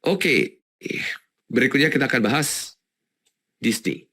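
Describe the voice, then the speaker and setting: Male webinar presenter, medium pitch, informal and explanatory, thinks aloud with repeated words. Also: lively intonation, measured pace, pushed and bright. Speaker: webinar presenter on stock analysis. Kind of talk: informal live webinar commentary.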